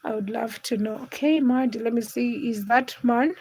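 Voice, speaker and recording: High-voiced woman hosting a chatty livestream. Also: moderate pace, a little mellow, breathy edge. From Uganda and Kenya. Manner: chatty, teasing, addresses viewers by name. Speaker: livestream host. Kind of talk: casual livestream chat reading comments.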